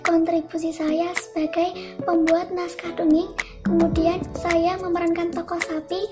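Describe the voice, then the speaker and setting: A woman's voice: very high-pitched, level tone, measured pace, music in the background. A young woman, a student, giving a scripted introduction. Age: young adult.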